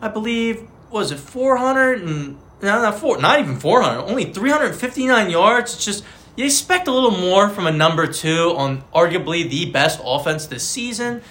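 Man, podcast co-host, trash-talking, slangy jokes, bright sharp voice. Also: high voice, expressive, moderate pace. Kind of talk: casual fantasy football chat.

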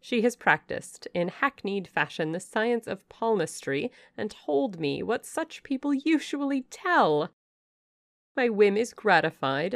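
A woman's voice: fairly high pitch, creaky, dry recording, pitched above the performer's own voice, heavily acted. A woman playing a haughty, imperious young noblewoman. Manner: commanding, scornful.

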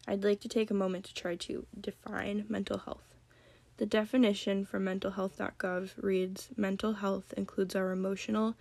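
A young woman with a slight cold hosting a solo podcast, talking calmly and gently. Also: medium pitch, creaky, dry recording. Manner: calm, gentle, reflective. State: has a cold. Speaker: podcast host. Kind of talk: solo podcast talk on mental health.

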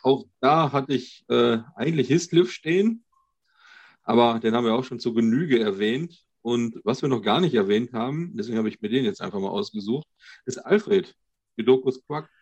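A man's voice: mid-range voice, expressive, normal speed, darkish tone, husky. A man co-hosting a podcast, talking casually and conversationally as a fellow collector. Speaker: podcast co-host. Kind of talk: casual chat among collectors. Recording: dull, lo-fi voice connection.

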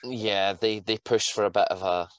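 A man's voice: on the low side, steady tone, normal speed, smooth, dry recording, lo-fi sound. A man in his twenties talking casually as a co-host on a podcast. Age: 20s.

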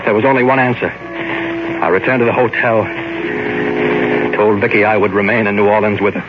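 A man's voice: on the low side, fairly even intonation, normal speed, very noisy, lightly acted. An actor playing a jealous, brooding man in an old radio drama, intense and melodramatic. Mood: bitter, resolved. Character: jealous, brooding man narrating his own crime story. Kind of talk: 1940s radio acting, dramatic first-person narration. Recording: muffled old radio recording, loud music.

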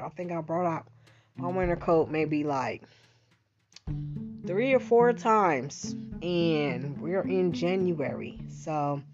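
Slangy, slow-talking Philly woman podcaster. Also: lowish voice. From Bahamas-born, raised in Philadelphia. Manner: chatty, slangy, lots of fillers and asides. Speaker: podcast host. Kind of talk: casual monologue. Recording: dull lo-fi sound with guitar music behind.

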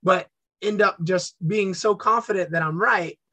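An upbeat man talks casually as an interview guest, telling stories with animation. His voice sits high and rings bright. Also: moderate pace, no room sound. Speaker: guest content creator.

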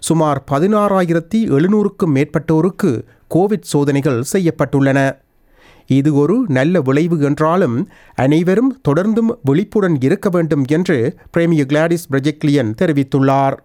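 A man with a somewhat high-pitched voice presents the news. He reads from a script in a formal, measured way, item by item. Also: darkish tone.

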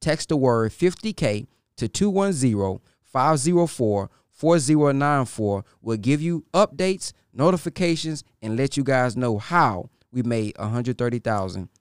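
A man's voice: mid-range voice, expressive, unhurried, hardly any pauses, smooth, dry recording, studio quality. A man reading an upbeat promotional spot in a salesy manner. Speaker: promo announcer.

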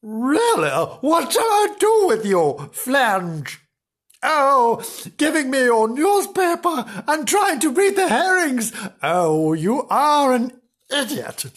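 A shrill, high-pitched housewife, as put on by a storyteller, scolds in exasperation. She exclaims and repeats herself, swooping about like a bossy character in a comic children's storybook. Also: very animated, a little slow, heavily acted. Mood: exasperated.

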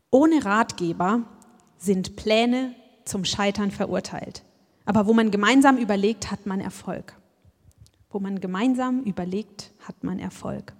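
Woman preaching in a reverberant hall. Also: a little high. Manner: reflective, addresses listeners directly with questions. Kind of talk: sermon.

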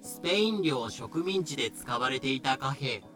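A man playing a dry, pedantic explainer who delivers clipped, deadpan asides and history notes in a scripted voice drama. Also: mid-range voice, normal speed. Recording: music behind the voice.

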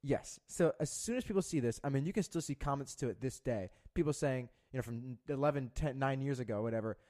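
A man's voice: mid-range voice, fast, mellow. Young guy on a podcast, rambly, swears a lot, keeps restarting his sentences. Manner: profane, rambling storyteller with many restarts. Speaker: podcast co-host. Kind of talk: casual chat.

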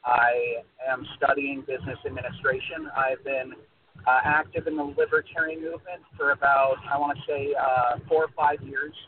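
A man's voice: mid-range voice, steady tone, moderate pace. A young adult American man introducing himself informally as a podcast guest, in a stop-start, hesitant way. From United States. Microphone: online voice call. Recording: noisy, phone-like voice-call audio.

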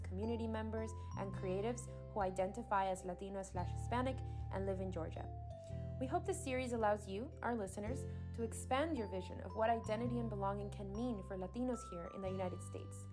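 A woman's voice: mid-range voice, normal speed, music in the background. A woman hosting a podcast, moving between scripted introduction and casual interview talk. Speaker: podcast host.

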